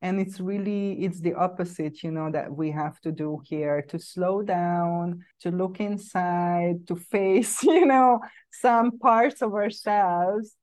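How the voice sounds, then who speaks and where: A woman's voice: on the low side, takes it slowly, darkish tone. A woman with a Hungarian accent talking conversationally and earnestly as a podcast host, often saying "you know". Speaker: podcast host and psychedelic integration coach.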